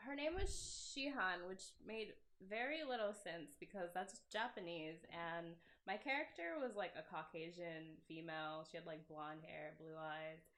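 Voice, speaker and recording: A woman's voice: medium pitch, a bit bright. A young woman giving casual, rambling and self-deprecating commentary as she plays through a game, with a giggly manner. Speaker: game developer hosting a playthrough. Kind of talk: casual let's play commentary. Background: game music.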